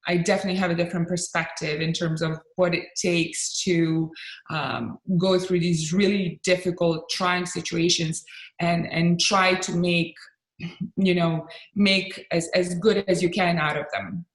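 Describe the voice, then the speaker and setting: A woman from Bosnia and Croatia, a real estate agent speaking as a guest in an interview, talking in an earnest, measured way and pausing to choose her words. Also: lowish voice, little pitch movement. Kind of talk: interview conversation. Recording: roomy echo.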